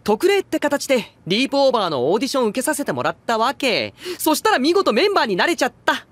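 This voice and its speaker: A man's voice: very high voice, very animated, fast, fairly bright tone, dry recording, full character voice. A man playing a breezy, cocky young idol and former student president in a Japanese voice drama, flippant and teasing. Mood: breezy, smug. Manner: flippant, self-assured, teasing.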